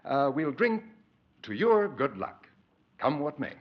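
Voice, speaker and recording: An actor playing a scheming, falsely soothing murderer in a 1940s radio horror drama, speaking in a halting, over-polite, theatrical way. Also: male voice, a little high, sing-song, heavily acted. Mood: sinister, calm. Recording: dull, lo-fi vintage 1940s radio recording.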